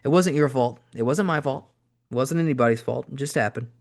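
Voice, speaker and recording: A man voicing a gruff old wrestler from Texas leaving a mumbled, apologetic phone message with a Texan accent. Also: fairly quick, natural voice. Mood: sheepish. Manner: low mumbling.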